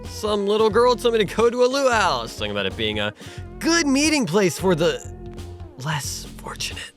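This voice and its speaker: A man's voice: high voice, wildly swooping pitch, moderate pace, a bit bright, soft background music, full character voice. A man voicing a hapless, broke young man lost in another world, dramatic and self-pitying, in an anime parody dub. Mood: baffled.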